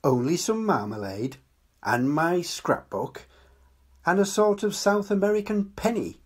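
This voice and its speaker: An older man voicing a small, polite male bear in a storybook reading, with a husky voice. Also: high-pitched, very animated, unhurried, pitched above the performer's own voice, full character voice.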